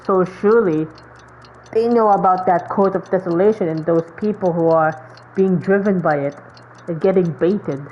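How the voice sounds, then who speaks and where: A woman's voice: fairly low pitch, moderate pace. A woman giving casual live gameplay commentary as a streamer, musing in a rambling way with lots of fillers. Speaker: game streamer. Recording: dull mic, faint background noise.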